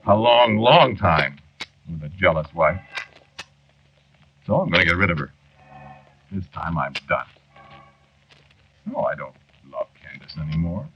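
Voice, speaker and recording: A man's voice: low voice, expressive, a little slow, pauses often. An actor playing a weary, centuries-old henpecked man in a 1940s radio drama, confiding in a hesitant, wry way. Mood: weary, resolved. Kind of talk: 1940s radio drama acting, first-person narration. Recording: dull, noisy 1940s radio recording.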